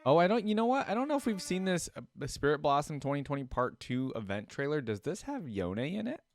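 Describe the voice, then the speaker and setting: A man's voice: fairly high pitch, big pitch swings, fairly quick. A man giving casual live reaction commentary, thinking aloud in short approvals and puzzled questions. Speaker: reaction video host. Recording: music playing behind the voice. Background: game trailer music and audio.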